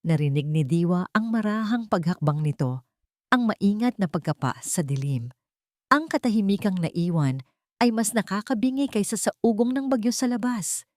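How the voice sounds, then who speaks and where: A woman's voice: mid-range voice, animated, moderate pace, a little mellow, dry recording, clean studio sound. A woman with a Filipino accent narrating a dramatic scripted love story.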